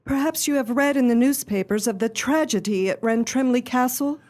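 A woman playing an earnest, genteel young lady in a radio play, telling her story in formal, measured phrases. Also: on the high side, a little mellow, lightly acted. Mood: earnest, grave.

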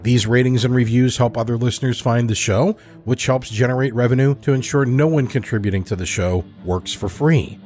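Male podcast host, measured scripted outro, soft music bed. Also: medium pitch. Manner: even, measured announcer delivery.

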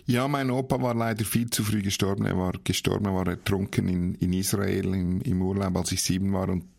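Male interview guest, Swiss German accent, heavy creak and husky tone, halting delivery. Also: low-pitched, fairly even intonation, darkish tone. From Switzerland. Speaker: interview guest, theologian and author. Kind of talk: personal storytelling in an interview.

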